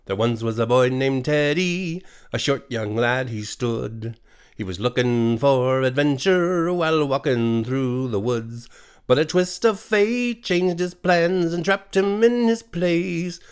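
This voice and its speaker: A man's voice: mid-range voice, expressive, slow, clean tone. A man voicing a small, gleeful storybook creature with an exuberant singsong nursery-rhyme delivery. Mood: joyous singing. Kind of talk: storybook character voice.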